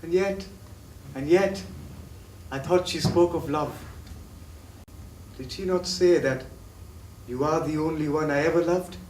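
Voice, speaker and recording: An actor playing a tormented, guilt-ridden lover in a theatrical stage drama, with a declamatory delivery that moves between impassioned and brooding. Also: male voice, fairly high pitch, unhurried, long pauses, a little mellow, natural voice. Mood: brooding, remorseful. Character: tormented, guilt-ridden male lover, doubting his own memory. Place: theatre stage, distant microphone. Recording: echoey theatre recording from far off, faint noise.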